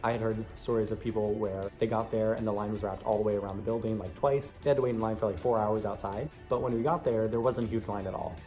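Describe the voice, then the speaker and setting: A man's voice: on the low side, steady tone, quick pace, hardly any pauses, darkish tone, faint music behind. A young American man telling a story casually to camera in a chatty, conversational way. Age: young adult. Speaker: vlogger sharing an audition experience.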